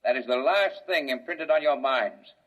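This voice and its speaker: A man's voice: medium pitch, normal speed, lightly acted. A man playing a cold condescending villain. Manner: calm, condescending, menacing. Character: cold scheming male scientist villain. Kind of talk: 1950s radio drama acting. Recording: dull old radio broadcast.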